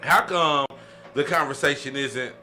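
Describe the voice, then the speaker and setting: Bright, emphatic male podcast host. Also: a little high, a little slow. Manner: emphatic, repeats phrases to press a point. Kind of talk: casual podcast discussion. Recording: faint background noise.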